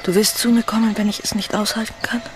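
An actress playing a pleading upper-class young wife in an intimate radio drama, urgent and breathy, often dropping to a whisper. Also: female voice, mid-range voice, flat delivery, quick pace, natural voice. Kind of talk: intimate German radio play acting.

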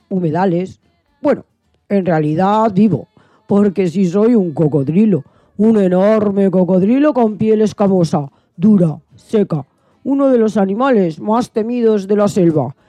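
A woman playing an unhappy, gentle male vegan crocodile in a children's radio play, confiding plaintively in the listener. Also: medium pitch, dry recording. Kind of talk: children's radio play, first-person storytelling.

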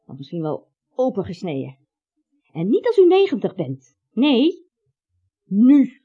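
An actress playing a morbid, ominous woman in a radio play, speaking deadpan with macabre relish. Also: a little high, a little slow, breathy edge, exaggerated voice. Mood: worried, warning. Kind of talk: Dutch radio play acting. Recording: muffled, dull old radio-play recording.

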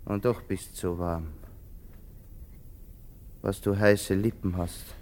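An actor playing a tormented, brooding man with murder in him in a literary radio drama, speaking in halting, repeated phrases. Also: low-pitched, fairly even intonation, a little mellow, slight background noise. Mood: brooding, ominous. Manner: halting, repetitive, muttered phrases breaking into frenzy.

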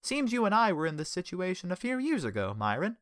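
A man voicing a calm, wry male office worker facing a threat. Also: sits high, brisk, no room sound, studio quality, voice pushed up, heavily acted.

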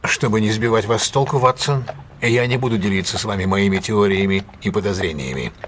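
A shrewd male detective played by an actor with a low voice. He is commanding and precise, setting out each point in measured audio drama style, over clear background noise. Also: a bit bright, lightly acted. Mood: instructive, measured.

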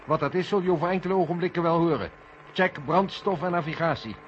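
A man's voice: on the high side, fast talker, slight background noise, close to an everyday voice. Man acting sceptical mission controller, dull old recording. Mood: urgent. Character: Sam, sceptical, matter-of-fact male Mission Control colleague. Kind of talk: 1970 radio play acting.

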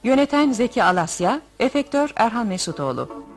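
Lady radio announcer doing formal credits, lilting highish voice, string music playing behind. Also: very animated, a little fast. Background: plucked string music.

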